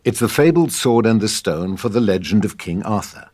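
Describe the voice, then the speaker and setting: A man's voice: fairly low pitch, animated, normal speed, darkish tone, husky. A man narrating a scripted drama, reading stage directions. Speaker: narrator of a script table read.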